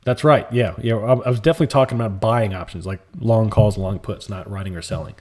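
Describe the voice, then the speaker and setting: Man, calm, soft-spoken, husky, casual live-stream chat. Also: lowish voice, quick pace. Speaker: livestream trading host.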